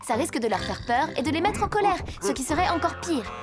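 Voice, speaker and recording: A woman's voice: sits high, very animated, fast. A woman voicing a caring female rescue vehicle in a French children's cartoon dub, instructive and reassuring. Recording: loud children's music behind.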